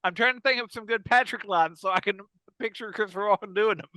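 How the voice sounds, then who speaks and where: A man hosting a casual comedy discussion, thinking out loud in a halting way and repeating himself. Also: high-pitched, dry recording, rough recording. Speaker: podcast host.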